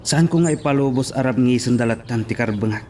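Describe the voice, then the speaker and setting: A man's voice: mid-range voice, fast talker, warm tone, distant mic, a little hiss or hum, close to an everyday voice. A man with an Ilocano accent playing a stubborn, defiant young police lieutenant in a radio drama. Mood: resolute.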